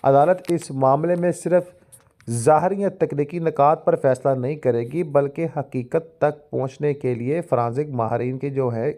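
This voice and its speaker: Man, Pakistani accent, dark mellow tone, mid pitch, formal instructional delivery, English terms mixed in. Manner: formal Urdu with frequent English legal terms. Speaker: law education YouTuber. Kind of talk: explanatory lecture mixed with reading out a judgment.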